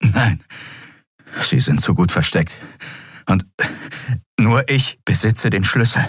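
A man voicing a desperate, breathless male captive in an audiobook, with a thin, strained and halting delivery. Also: fairly low pitch, lively intonation, husky, no room sound, clean studio sound, voice pushed up, exaggerated voice. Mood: insistent. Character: choking, desperate male captive pleading the truth.

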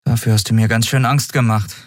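A man voicing an impulsive, stubborn young woman who captains an airship, earnest and quick to argue. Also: medium pitch, steady tone, no room sound, clean studio sound, pitched above the performer's own voice, natural voice. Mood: teasing.

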